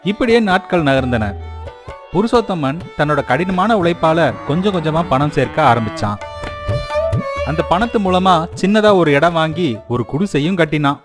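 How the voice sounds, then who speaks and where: A man with a somewhat high voice narrates a moral story in a scripted storytelling style. Music plays clearly behind him. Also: expressive, normal speed.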